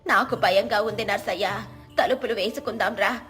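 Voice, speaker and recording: A woman's voice: medium pitch, normal speed, a bit bright, faint music behind, lightly acted. A woman voicing a timid, worried old village woman who sells vegetables and complains fretfully.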